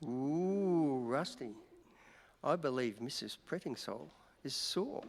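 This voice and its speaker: A man's voice: medium pitch, lively intonation, slow pace, gravelly. A man playing an easygoing, wisecracking newspaper reporter in a radio play, folksy and wry. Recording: echoey hall, noisy stage recording.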